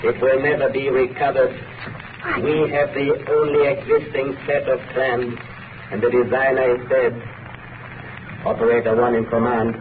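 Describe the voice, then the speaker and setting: A cold, commanding saboteur chief in a 1930s radio drama. He issues clipped orders in a flat monotone. It comes through as a tinny shortwave recording. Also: medium pitch, normal speed, slight background noise. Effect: heard as a recorded shortwave message.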